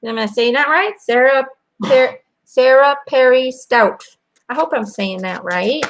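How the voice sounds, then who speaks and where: Chatty, gravelly-voiced Belgian woman reading cards. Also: high voice, measured pace. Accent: American-sounding English. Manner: chatty, hesitant, repeating names and phrases. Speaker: angel card reader. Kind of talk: live-stream card reading. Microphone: webcam.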